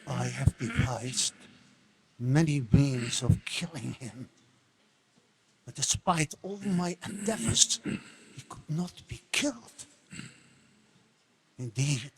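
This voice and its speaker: A man's voice: a little high, slightly rough, full character voice. An actor playing a raging demon king and father, bellowing and menacing in a theatrical dramatic reading. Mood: brooding, defeated. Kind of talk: dramatic stage reading of scripture.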